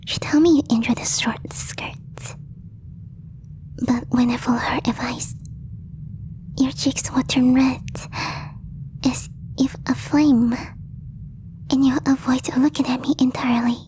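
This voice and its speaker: A woman voicing a possessive, lovestruck alien girl in a breathy whisper, with slow, stiffly formal phrasing for an ASMR roleplay. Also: high voice, darkish tone. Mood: questioning, suspicious. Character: possessive lovestruck alien girl, stilted speech, shyly obsessive.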